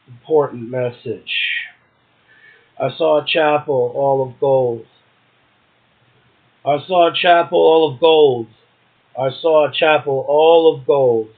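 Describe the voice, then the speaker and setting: A man hosting a poetry show, reading verse and commenting casually between poems. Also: mid-range voice. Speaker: poetry show host and poet. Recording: muffled, narrow phone-like sound.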